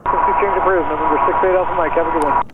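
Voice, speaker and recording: A man's voice: a little high, steady tone, rapid-fire. A man, a pilot making procedural calls and readbacks over aviation radio. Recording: tinny, very noisy cockpit radio link.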